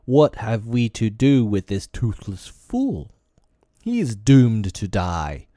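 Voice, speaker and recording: A man putting on a hateful, bullying tiger, scornful and snarling. The voice is deep and dark, drawn out slowly, heard close and dry. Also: sing-song, no room sound. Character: huge male tiger, cruel, hateful bully. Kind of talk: storybook reading.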